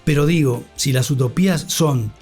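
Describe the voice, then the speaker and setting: Deliberate, erudite Argentine man. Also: mid-range voice, a little mellow, breathy edge, soft background music. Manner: deliberate, erudite, formal vocabulary. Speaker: interview guest, radio scholar. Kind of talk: thoughtful interview conversation.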